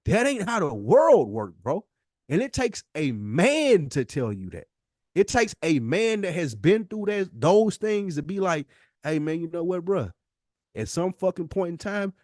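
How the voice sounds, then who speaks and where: A man's voice: fairly high pitch, a little mellow, no room sound, studio quality. A man with an American accent speaking casually and emphatically as a podcast host, using slang and swearing. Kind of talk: casual, impassioned discussion.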